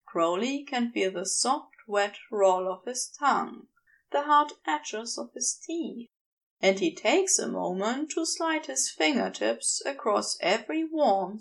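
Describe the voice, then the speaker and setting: A woman reading a piece of fiction in a measured, deliberate, intimate storytelling style. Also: on the high side, creaky. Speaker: amateur audiobook narrator.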